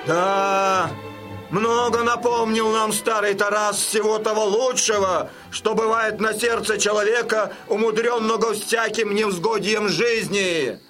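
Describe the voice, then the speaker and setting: An actor playing an earnest, loyal Cossack warrior in a Russian audio drama. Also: male voice, high-pitched, fairly even intonation, measured pace, fairly bright tone, soft background music. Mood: moved, reflective.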